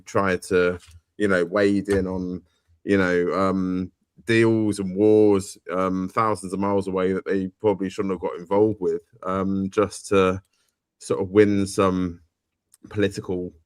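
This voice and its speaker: A man's voice: low-pitched, dry recording. A man on a live panel discussion, speaking hesitantly with many fillers and restarts.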